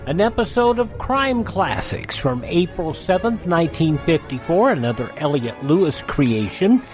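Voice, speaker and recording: A male radio host reads a scripted introduction. His voice is fairly high and very varied in pitch, with faint music behind it. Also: sing-song, normal speed.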